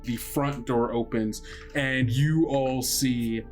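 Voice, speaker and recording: A man's voice: medium pitch, steady tone, takes it slowly, darkish tone, soft background music. Man narrating a tabletop game. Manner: informal, improvised narration. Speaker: game master.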